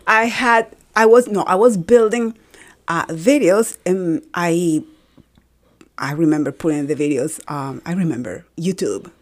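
A middle-aged woman from Colombia with a Colombian Spanish accent, native Spanish speaker, chatting as a podcast guest, telling animated stories and laughing often. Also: fairly low pitch, a little slow. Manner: animated storytelling with frequent laughs. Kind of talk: casual chat.

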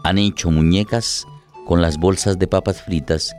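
A man with a deep voice narrates a radio drama. His phrases are short, measured and deliberate, set over prominent music. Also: fairly even intonation, darkish tone.

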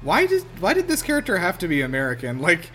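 A man's voice: high-pitched, huge pitch swings, fast, sharp tone, faint music behind. A man giving casual, wry film commentary as a podcast co-host, exclaiming and swearing freely.

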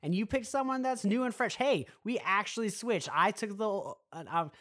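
A man's voice: high-pitched, animated, moderate pace, fairly bright tone. A man co-hosting a sports talk show, giving commentary in an emphatic way and stretching key words.

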